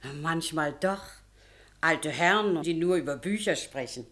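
An actress playing a devoted, fussy housekeeper in a radio drama, polite and naively chatty. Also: female voice, deep voice, unhurried, a bit bright. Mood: chatty, proud.

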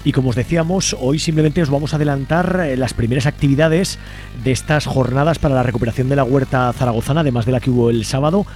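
A man's voice: medium pitch, quick pace, music in the background. A man with an accent from Spain, hosting a conversational radio interview. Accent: Spanish (Spain). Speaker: radio host interviewing a guest.

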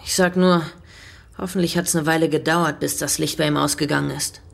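A defiant teenage boy behind bars voiced by an actor with a creaky, gravelly edge. He talks slangy and hard, as in a radio play. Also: medium pitch, fairly even intonation, fairly quick, close to an everyday voice. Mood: defiant, bitter. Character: tough, foul-mouthed teenage male juvenile inmate. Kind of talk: radio drama acting.

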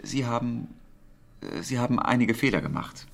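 An actor playing an earnest, wry British wartime code instructor in a radio play, speaking in a measured, deliberate way with pauses. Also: male voice, mid-range voice, expressive, gravelly. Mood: calm, serious.

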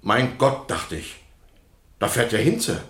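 An actor playing a flustered, defensive witness, agitated yet deferential, in a German radio courtroom drama. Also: male voice, mid-range voice, big pitch swings, slow pace, a bit bright. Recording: roomy, echoing courtroom sound.

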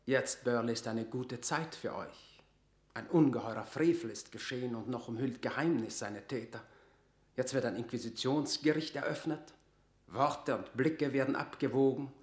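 A man's voice: mid-range voice, moderate pace, roomy sound, lightly acted. A man playing a proud, mocking courtier in a classical radio drama, speaking in formal, haughty declamation.

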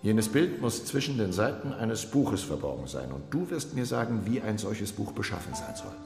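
An imperious Ottoman sultan in a radio drama. The voice is low and commanding, with formal, weighed phrases. It rings through an echoey hall over clear music. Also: very animated, normal speed. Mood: grave, pondering. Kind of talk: literary radio drama acting. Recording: echoey hall with long reverb, music behind.

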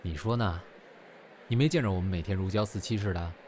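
Man acting a wistful, very low-voiced young man. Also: fairly quick, a little mellow, slight background noise, natural voice. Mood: wistful, wry. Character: wistful, guarded young male performer hiding heartache. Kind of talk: audio drama acting. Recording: music behind the voice. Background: music.

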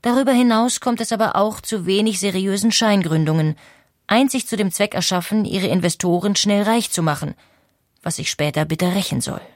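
A woman narrating a history documentary in a clear, steady scripted reading. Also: medium pitch, fairly quick, no echo, studio quality.

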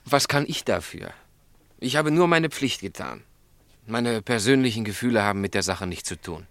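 An actor playing a stubborn, upright snack-bar owner in a German radio drama, speaking in a firm, curt, defensive way. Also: male voice, fairly low pitch, lively intonation, normal speed, fairly bright tone, dry recording.

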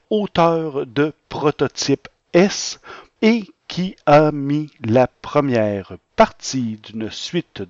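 A male podcast host speaks at a slow pace and a mid pitch. His phrasing is earnest and rather florid, and the recording is very dry and slightly dull. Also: darkish tone, no echo. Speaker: podcast segment host and interviewer. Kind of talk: scripted podcast presentation and informal interview.

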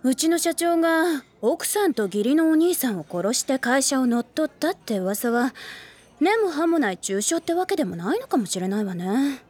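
A woman's voice: sits high, big pitch swings, normal speed, a little mellow. Coquettish office lady. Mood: musing. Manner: playful, coquettish. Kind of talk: anime drama CD acting.

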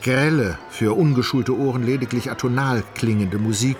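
A man narrating a literary radio drama in a measured, wry, ornate storytelling manner. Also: mid-range voice. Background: music.

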